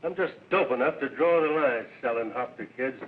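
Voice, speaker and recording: A man's voice: mid-range voice, moderate pace, close to an everyday voice. A stubborn, indignant gangster played by a male actor in a 1930s film. He talks in blunt tough-guy slang on a tinny old soundtrack.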